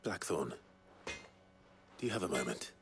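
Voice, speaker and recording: An actor playing a reserved young male warrior who speaks tersely and low-key. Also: sing-song, measured pace, vocal fry, background noise, exaggerated voice. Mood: somber.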